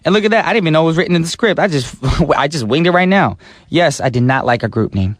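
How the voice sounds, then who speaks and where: A man hosts a radio music show, telling chatty, animated stories between songs. His voice sits a little high, and the studio mic sounds close and dry. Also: quick pace, no room sound. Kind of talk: casual radio DJ chat.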